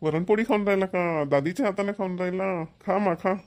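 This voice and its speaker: Affectionate older farmer grandfather, played by a man, brisk pace, slightly high voice, rural Maharashtrian accent, cartoon. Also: no echo. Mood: affectionate, weary. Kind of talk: cartoon family dialogue.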